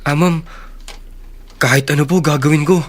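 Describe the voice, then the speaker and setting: A man's voice: a little high, fairly even intonation, moderate pace, close to an everyday voice. An actor playing a humble, grateful young male employee, deferential and hesitant, in a Tagalog radio drama.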